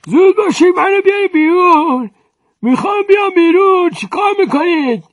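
A man voicing a trapped old jar mender who cries out in a high, strained voice. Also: lively intonation, dry recording. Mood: pleading.

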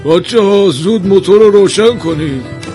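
A man's voice: high-pitched, over music, voice pushed up, close to an everyday voice. A man voicing a workshop master craftsman who strains to stay patient and polite, speaking emphatically and placatingly in a storybook tale. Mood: commanding.

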